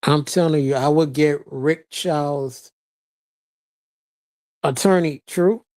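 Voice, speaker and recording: A live-stream host answers viewer comments in loose, unscripted chat. The voice sits in a middle pitch, and the talk is broken up by frequent laughter and casual swearing. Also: takes it slowly, a little mellow. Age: adult with a child, past teens. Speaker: livestreamer reading viewer chat.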